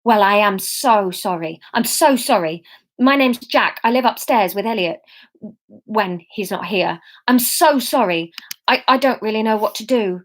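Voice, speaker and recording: A woman's voice: medium pitch, animated, a little slow. A woman reading as a flustered, stammering young man. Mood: flustered apologetic. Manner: stammering, apologetic. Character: flustered apologetic young man, dog owner.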